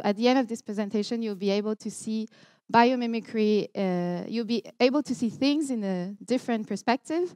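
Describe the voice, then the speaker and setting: A woman's voice: medium pitch, darkish tone. A young woman from Montreal with a Quebec French accent, giving an earnest keynote talk with many ums and restarts, recorded on a headset mic in a large lecture hall. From Montreal, Canada. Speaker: keynote speaker. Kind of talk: conference talk.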